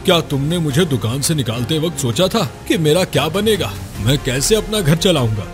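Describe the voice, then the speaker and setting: A man's voice: fairly high pitch, animated, fairly quick, over music, close to an everyday voice. Man acting a desperate, begging homeless villager. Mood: desperate, pleading. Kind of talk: cartoon moral story dialogue.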